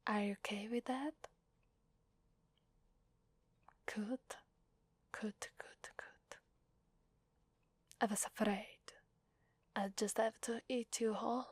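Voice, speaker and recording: A woman from Italy playing a sadistic, playful female demon in a whispered ASMR roleplay, teasing and menacing in a soft, breathy voice. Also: medium pitch, no echo. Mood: amused, menacing. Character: sadistic, playful female demon mistress who relishes blood.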